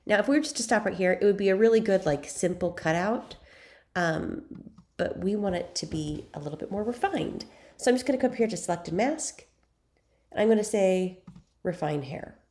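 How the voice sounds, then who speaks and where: A young woman with a cold, sounding raspy and congested, chatting her way through a photo-editing tutorial with frequent ums and self-deprecating asides. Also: mid-range voice, brisk, darkish tone. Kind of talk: screen-recorded software tutorial.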